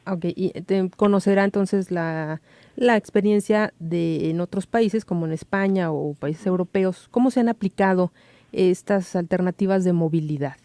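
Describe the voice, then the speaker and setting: A woman's voice: on the low side, normal speed, dark tone, no room sound. A woman hosting a radio news interview, asking conversational questions and thinking aloud.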